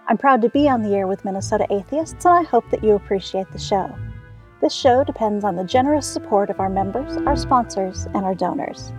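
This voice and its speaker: A woman's voice: medium pitch, a little mellow. A woman hosting a radio interview show, asking questions and addressing the audience. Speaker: radio host.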